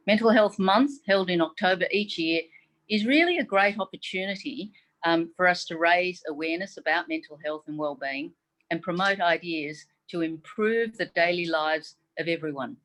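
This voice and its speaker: A woman from Taiwan whose first language is Mandarin, a chief medical advisor giving a prepared forum speech in a measured, formal way with frequent "um"s, heard over a video call. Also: lowish voice, a bit bright, rough recording. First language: Mandarin Chinese.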